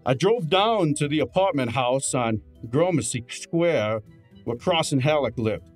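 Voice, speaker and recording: An actor playing a brash, wisecracking detective's assistant in an old-time radio drama, exasperated and sardonic. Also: male voice, mid-range voice, animated, a little slow, faint music behind. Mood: matter-of-fact. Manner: exasperated, sardonic, hard-boiled.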